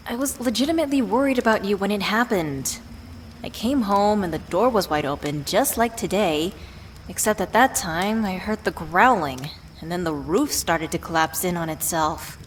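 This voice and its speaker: A woman's voice: mid-range voice, expressive, normal speed, lightly acted. A woman playing a worried, affectionate witch who tenderly scolds a beloved partner in an ASMR roleplay audio drama. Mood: scolding. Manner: playfully exasperated, tender scolding with sighs. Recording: clear background ambient noise.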